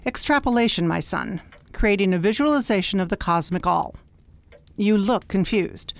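A woman playing a wise alien mentor who talks like an exacting, gently scolding professor in a radio drama. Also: mid-range voice, moderate pace, gravelly, lightly acted. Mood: wise, serene. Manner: precise, schoolmistressy, gently scolding. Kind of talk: science fiction radio drama acting.

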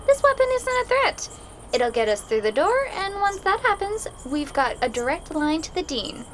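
Woman acting bossy student rebel, female, high voice, scheming, audio drama, faint background noise. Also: big pitch swings, moderate pace. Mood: commanding.

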